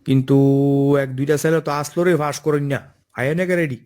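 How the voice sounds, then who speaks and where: A man's voice: on the high side, steady tone, quick pace, darkish tone, close to an everyday voice. A man voicing a firm, fair-minded forest officer in a cartoon, authoritative and matter-of-fact, with an eastern Bengali dialect. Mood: worried, firm. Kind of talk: cartoon dialogue.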